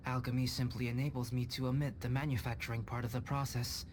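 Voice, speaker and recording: A man voicing a calm, scholarly young alchemist in a video game, measured, formal and polite. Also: mid-range voice, slight background noise, lightly acted. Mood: calm, explanatory. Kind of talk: video game cutscene voice acting. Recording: game music behind voice.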